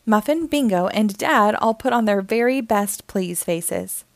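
A woman reading a children's story aloud in an expressive, deliberate narrating style. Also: medium pitch, dry recording. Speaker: storybook reader.

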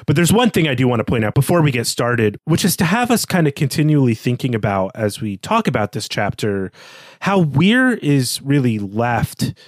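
A man's voice: medium pitch, sing-song, moderate pace, dry recording, clean studio sound. A man co-hosting a podcast, talking through a literary discussion in an analytical, explanatory way with clarifying asides. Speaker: podcast co-host.

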